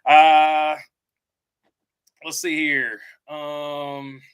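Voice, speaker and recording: Male streamer, bright tone, halting and casual, reads viewer comments aloud. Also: a little high, little pitch movement, clear, resonant tone. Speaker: livestream host. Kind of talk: casual live stream chat.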